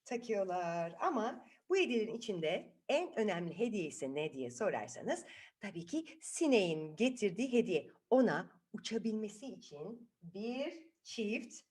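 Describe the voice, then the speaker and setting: Bir kadın, çocuklara sorular sorarak, sözleri tekrarlayarak dramatik bir dille doğaçlama masal anlatıyor. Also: female voice, medium pitch, big pitch swings, moderate pace, darkish tone. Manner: dramatic, repeats words, asks listeners questions. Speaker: children's storyteller. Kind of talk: improvised, animated storytelling to children.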